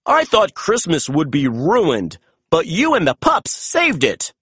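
Jolly old father christmas type done by a storybook reader, hearty, husky, pitch swinging all over. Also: wildly swooping pitch, takes it slowly, fairly bright tone, no room sound, clean studio sound, exaggerated voice. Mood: grateful. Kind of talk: children's storybook character reading.

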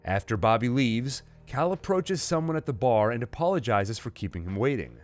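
Guy narrating a story recap, really animated delivery, music behind him. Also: mid-range voice, moderate pace. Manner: acts out quoted dialogue within narration. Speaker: host narrating a comic recap. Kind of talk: scripted dramatized narration. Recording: music under the voice. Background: dramatic music and sound effects.

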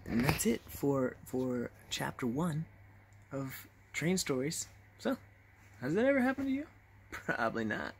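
A young man telling casual stories to camera in an animated, acted-out way, recorded on a phone in a bedroom. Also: medium pitch, gravelly, husky. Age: young adult. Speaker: vlogger telling personal stories. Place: small bedroom, lying in bed. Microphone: phone at arm's length.

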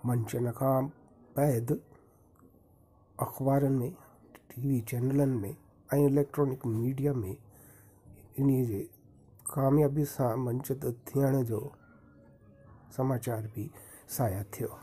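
A man narrating a promotional video in a steady, formal way. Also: medium pitch, slow pace, pauses often, husky. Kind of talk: scripted narration.

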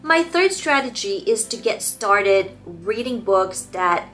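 A woman's voice: medium pitch, very animated, takes it slowly, a bit bright. Woman educator presenting over background music. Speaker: early childhood educator giving parenting tips. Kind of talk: scripted instructional presentation to camera.

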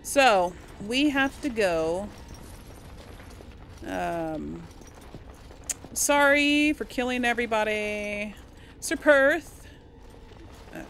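A woman's voice: fairly high pitch, animated, very slow, long pauses, a bit bright, slight background noise. A giggly, easily startled woman giving casual video game commentary, reacting aloud as she plays. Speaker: gamer, video game playthrough host. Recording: game music behind the voice.

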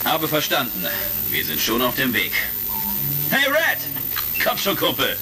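An easygoing space hero in a vintage sci-fi radio play. His voice is creaky and very lively in pitch, on a crackly old record with music behind. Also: measured pace, exaggerated voice. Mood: brisk, businesslike. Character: easygoing heroic space commander, friendly to his sidekick. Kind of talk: retro science-fiction radio drama acting.

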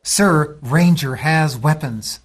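A man's voice: on the high side, slow pace. A man playing an alert soldier at a targeting station in a radio drama, giving clipped status reports. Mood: tense.

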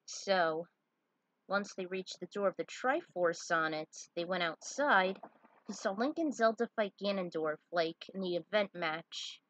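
A woman with a husky voice narrating a story and dropping in casual asides. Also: lowish voice, measured pace, fairly bright tone, no room sound, rough recording. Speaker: fan fiction writer reading their own story.

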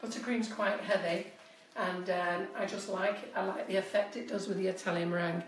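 A woman in her fifties giving a live cake decorating lesson from her home kitchen, talking chattily to viewers, her voice a little squeaky from a cold. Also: steady tone, brisk, recorded from a distance, slight background noise. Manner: chatty, instructive, addresses viewers directly. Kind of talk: live-streamed baking demonstration. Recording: echoey kitchen, voice often off-mic.

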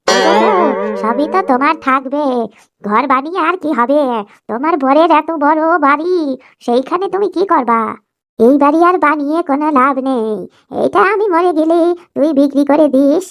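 A woman voicing a boastful, scheming old village mother, drawling and scolding in rustic dialect for a cartoon. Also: high-pitched. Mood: smug.

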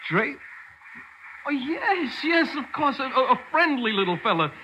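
An actor playing a frightened, pleading small-time garage owner in a 1940s radio drama, stammering and whiny with slangy grammar. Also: male voice, extremely high pitch, sing-song, measured pace, muffled recording, exaggerated voice. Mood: nervous. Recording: hissy 1940s radio broadcast.

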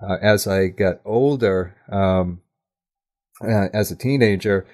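A man speaking as an interview guest in a casual, reflective way, modest, candid and a little halting. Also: fairly low pitch, a little mellow. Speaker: podcast guest, audio drama writer.

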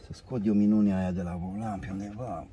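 Middle-aged guy, deep mellow voice, muttering and coaxing stuff in his car, phone mic, bit of hiss. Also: steady tone, highs cut off. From Romania. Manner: muttering to himself, coaxing the parts. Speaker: DIY car vlogger. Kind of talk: casual self-talk while working. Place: inside a parked car, outdoors.

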